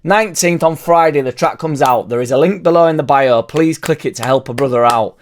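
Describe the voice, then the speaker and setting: A young man, hoarse from losing his voice, ranting casually about football with loud bursts and swearing. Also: a little high, brisk. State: hoarse, partly lost voice. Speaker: football fan vlogger. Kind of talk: casual rambling fan vlog.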